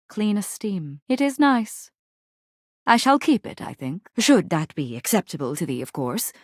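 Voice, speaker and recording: An actress playing a proud, honor-bound warrior general in a video game, with formal, archaic, Shakespearean theatrical delivery. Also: female voice, medium pitch, no room sound, clean studio sound. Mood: tender, grateful. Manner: formal, grandiose, poetic, solemn.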